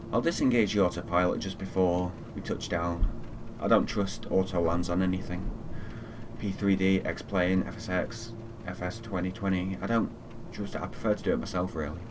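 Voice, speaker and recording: Deep-voiced man streaming over background noise. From Fiji. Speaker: flight simulator live streamer. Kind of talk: casual live gaming commentary.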